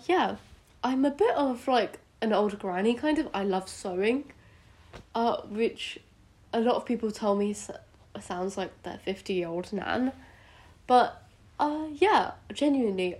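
A woman's voice: medium pitch, darkish tone. Hesitant British teenage girl with sniffles. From United Kingdom, born in India. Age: teenager, about 14 to 15. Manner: hesitant, rambling, self-conscious. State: sick with sniffles. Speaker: podcast host. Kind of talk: casual solo podcast chat.

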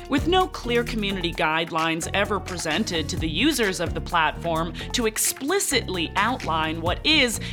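A woman hosting a talk show, reading a news item and interviewing a guest in a bubbly, upbeat presenter manner from a studio. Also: medium pitch. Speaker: podcast host.

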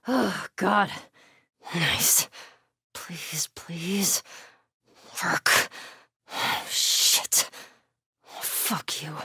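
Video game role: wisecracking, foul-mouthed young female survivor, naturalistic delivery, very lively pitch, dry studio sound. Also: breathy edge, dry recording, full character voice. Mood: frustrated, muttering. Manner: blunt, sweary, wry.

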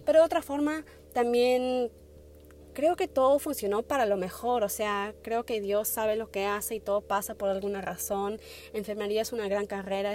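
A woman's voice: medium pitch, slight background noise. A young woman in her twenties talking casually on her podcast in Spanish, hesitant, full of fillers and restarts, with English words slipping in. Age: 20s. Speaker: podcast host. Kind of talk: casual personal talk.

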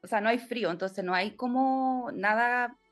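Guest: middle-aged woman, slightly high, chatty and easygoing. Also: moderate pace, faint music behind. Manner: chatty, laughs often, speaks in loose run-on stretches. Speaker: podcast guest. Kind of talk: casual conversational interview.

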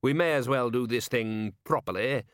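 A man voicing an unruffled aristocratic ruler, polite and measured, with dry mischief and feigned innocence, in an audiobook. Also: medium pitch, clean tone, dry recording, close to an everyday voice.

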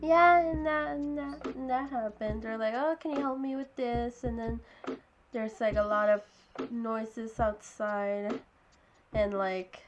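A teenage girl co-hosting a podcast, chatting casually, halting and often giggling. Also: on the high side, steady tone, highs cut off, background noise. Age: teens. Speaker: podcast co-host. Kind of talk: casual chat.

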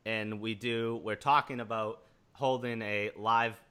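A man's voice: lowish voice, fairly even intonation, a little slow, pushed and bright, clear, resonant tone. A man hosting a podcast, asking questions and steering the talk in an upbeat way. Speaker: podcast host. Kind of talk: casual interview.

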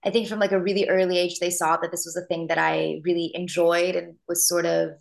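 A young woman in her twenties with an American accent, an actress guest answering in a relaxed, earnest way. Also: on the low side, fairly quick, creaky. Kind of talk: casual interview answers.

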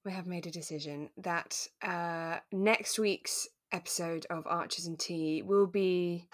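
A British woman co-hosting a podcast in relaxed, casual chat about a radio drama. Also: on the low side, a little slow, no echo, studio quality. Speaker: podcast co-host.

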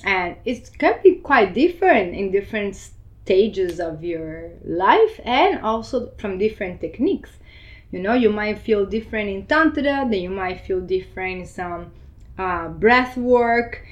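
A woman's voice: mid-range voice, expressive, a little slow, muffled recording. A woman born in Argentina and raised in Brazil, explaining yoga in a casual interview and laughing often. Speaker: yoga teacher.

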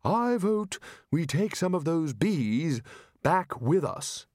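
A chatty, enthusiastic elderly wizard scholar, as a male narrator puts him on. His voice is raised and lively. The sound is dry. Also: on the high side, slow pace, dry recording, pitched above the performer's own voice, full character voice. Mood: enthused.

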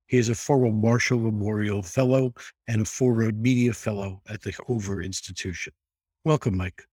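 A man hosting a podcast, delivering a polished scripted introduction and then asking a guest questions in a measured way. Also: fairly low pitch, steady tone, a little mellow, husky, no echo. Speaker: podcast host.

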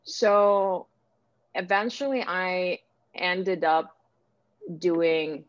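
Casting: woman, Ukrainian accent, low register, conversational and hesitant interviewee, muffled lo-fi recording. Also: drawn-out words. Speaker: interviewee, actor and director. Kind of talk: casual interview answers. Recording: echoey room, dull lo-fi sound.

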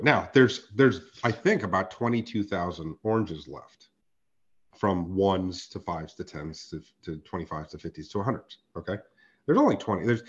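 A man with a raspy, hoarse voice, co-hosting a casual podcast chat, emphatic and quick to laugh. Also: on the low side, muffled recording. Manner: animated, emphatic, swears and laughs often. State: hoarse from a sore throat. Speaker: podcast co-host.

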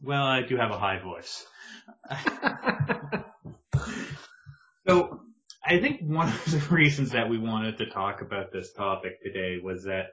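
Bright-voiced man, dry teasing. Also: fairly low pitch, lively intonation, takes it slowly, long pauses, slight background noise. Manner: laid-back, dry teasing. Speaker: podcast co-host. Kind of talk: casual podcast chat. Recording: dull lo-fi sound.

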